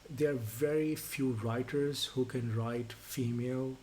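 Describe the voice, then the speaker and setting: A native Urdu-speaking man co-hosting a song reaction, talking in a reflective, halting way and often repeating words. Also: mid-range voice, steady tone, darkish tone, slight background noise, low-quality recording. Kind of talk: casual reaction chat.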